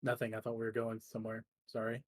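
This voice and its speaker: A man improvising as a player in a tabletop roleplaying game, casual and halting in his delivery. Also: lowish voice, monotone. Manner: hesitant, halting.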